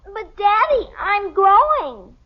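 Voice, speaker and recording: A woman's voice: very high voice, sing-song, slow, full character voice. An actress playing a pesky, literal-minded little girl with an American accent, whiny and teasing, in an old radio sitcom. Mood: defiant. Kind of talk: 1950s radio sitcom acting. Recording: noisy dull old radio recording.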